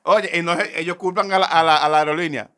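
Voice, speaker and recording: A Dominican-accented man in his thirties hosts a casual comedic chat, teasing his guest and laughing often. His voice is bright and somewhat high. He talks into a desk mic inside a small clothing shop. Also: steady tone, fast. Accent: Dominican Spanish. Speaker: host. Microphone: desk boom mic.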